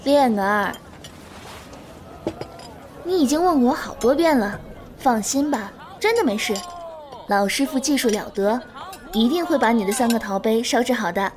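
An actress playing a gentle, patient and doting young swordswoman in a Chinese martial-arts audio drama, speaking softly and with composure. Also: a little high, animated, moderate pace, long pauses, lightly acted. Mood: fond, patient.

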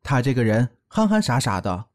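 A man's voice: mid-range voice, sing-song, normal speed, lightly acted. A man playing a lovesick, indecisive young orc rogue, a hesitant and earnest little brother, in an audio drama. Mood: curious.

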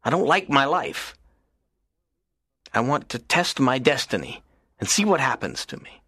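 An actor playing a lonely, earnest teenage schoolboy in an audiobook, talking in a soft, low, pleading way. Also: male voice, big pitch swings, a little slow, husky, no echo, clean studio sound, full character voice. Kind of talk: audiobook character reading.

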